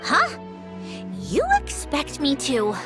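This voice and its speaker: High-voiced, skeptical proud young woman. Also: wildly swooping pitch, takes it slowly, over loud music, heavily acted. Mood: indignant, flustered. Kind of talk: video game character acting.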